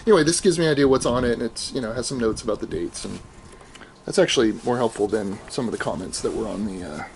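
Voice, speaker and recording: A middle-aged American man gives a casual, unscripted show-and-tell, thinking aloud in a halting, explanatory way. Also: medium pitch, expressive. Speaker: amateur audio archivist showing his tapes. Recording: clearly noisy home video, room ambience.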